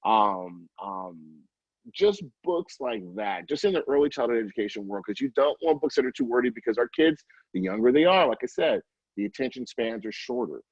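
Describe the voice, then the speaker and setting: A middle-aged man giving casual interview answers as an early childhood educator, halting and often interrupting himself with informal asides. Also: mid-range voice, animated, no echo, lo-fi sound.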